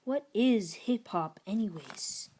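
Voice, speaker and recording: A woman's voice: mid-range voice, animated, slow pace, warm tone. A woman voicing a young prince, a curious and guarded new schoolboy in a storybook, with a questioning way of talking. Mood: puzzled.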